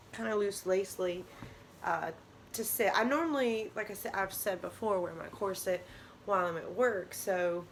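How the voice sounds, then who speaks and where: A woman's voice: medium pitch, slight background noise. A young woman losing her voice, chatting casually to camera in a rambling way, with lots of ums and restarts. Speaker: vlogger. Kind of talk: casual product talk to camera.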